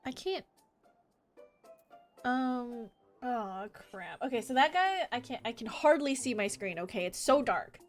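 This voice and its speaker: Girl streamer playing a game, high voice, gushes a lot, faint music in the back. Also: animated, unhurried. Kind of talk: live video game playthrough, reacting and reading dialogue aloud. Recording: music behind the voice.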